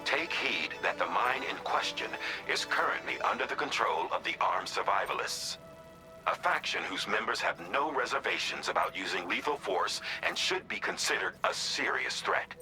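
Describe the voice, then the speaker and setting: An actor playing a measured, formal male handler who gives precisely worded, scripted briefings in a video game. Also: on the low side, sing-song, pushed and bright, full character voice, rough recording. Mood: grave, deliberate. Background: music.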